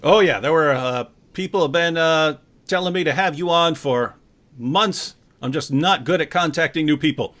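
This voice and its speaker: Upbeat male host of a live stream, slightly high voice, playful and chatty. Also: normal speed. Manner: jokey, energetic, conversational. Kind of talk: live-stream talk show hosting.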